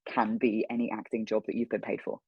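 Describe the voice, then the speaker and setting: A man's voice: fairly low pitch, completely flat delivery, fairly quick, breathy edge, dry recording, dull audio. A young man chatting casually as an interview guest, speaking emphatically and repeating phrases for effect. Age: young adult.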